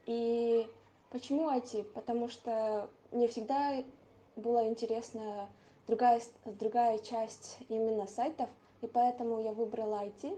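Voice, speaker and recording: A woman's voice: fairly high pitch, steady tone, unhurried, mellow. An eighteen-year-old woman introducing herself to an online class, stumbling and correcting herself over a video call. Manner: hesitant, self-correcting.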